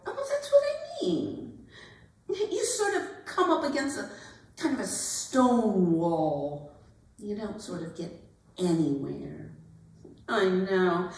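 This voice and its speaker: A woman acting a giggly, earnest young society debutante in a theatrical monologue, gushing and halting as she talks. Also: mid-range voice, big pitch swings, far from the mic. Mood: earnest, confiding. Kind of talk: theatrical dramatic monologue at a staged reading. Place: arts center performance space. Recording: echoey hall, picked up from afar.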